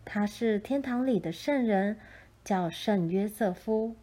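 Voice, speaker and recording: A woman storyteller reads a children's tale from a script. Her voice is mellow and mid-pitched. She takes her time, keeping a gentle, measured delivery throughout. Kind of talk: scripted children's picture-book narration.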